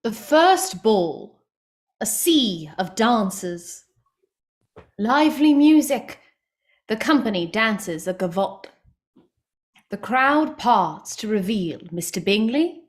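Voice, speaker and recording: A woman's voice: medium pitch, sing-song, slow pace. A woman reading stage directions as scripted dramatic narration.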